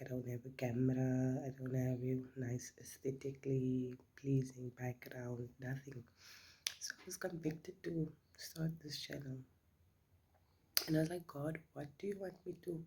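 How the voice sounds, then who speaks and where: A middle-aged woman with a South African accent, her first language Afrikaans, talks through her makeup in a relaxed chit-chat. Her voice is gravelly and a little husky. She often drops her volume to a near whisper. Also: rumbling low, a little slow. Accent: South African English. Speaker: beauty vlogger.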